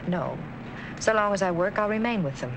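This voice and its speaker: An actress as a sincere young clinic worker. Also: female voice, mid-range voice, animated, fairly quick, natural voice. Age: young adult. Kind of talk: 1940s film acting. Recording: hissy 1940s film soundtrack.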